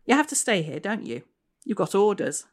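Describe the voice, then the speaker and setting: A woman's voice: mid-range voice, big pitch swings, moderate pace, pitched above the performer's own voice. A woman voicing a prim young female ghost in an old police uniform, indignant and then smug. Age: 20s.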